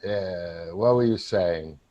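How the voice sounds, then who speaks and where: A man's voice: deep voice, takes it slowly, dull audio. A man playing a flippant, teasing, self-satisfied drinking buddy in a staged play reading. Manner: wry, teasing.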